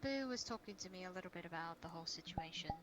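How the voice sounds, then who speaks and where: A woman's voice: fairly low pitch, expressive, quick pace, lightly acted. A woman playing a caring, cautious guild leader in an improvised fantasy role-play, speaking earnestly and hesitantly over online voice chat. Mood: worried. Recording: noisy online voice-chat audio.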